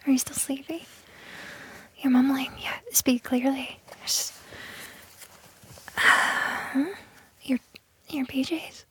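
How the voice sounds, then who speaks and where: A woman acting a flustered, awkward young lover in an intimate audio roleplay, with a breathy, hushed voice and halting, stammering delivery. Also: high voice, fairly even intonation. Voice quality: breathy, whispery.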